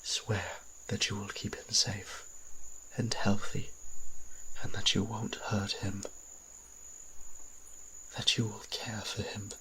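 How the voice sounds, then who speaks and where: A man playing a gentle, devoted vampire priest in an audio drama, speaking earnestly and with careful phrasing. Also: lowish voice, flat delivery, slow, pauses often, a little hiss or hum, poor audio. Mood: pleading. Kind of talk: audio roleplay drama acting.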